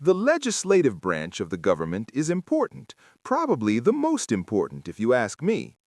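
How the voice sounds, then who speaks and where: A man's voice: mid-range voice, huge pitch swings, moderate pace, darkish tone, dry recording. Man putting on a smug, boastful lady teacher, very singsong. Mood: smug, proud. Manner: smug, bragging. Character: boastful, self-satisfied middle-aged female social studies teacher.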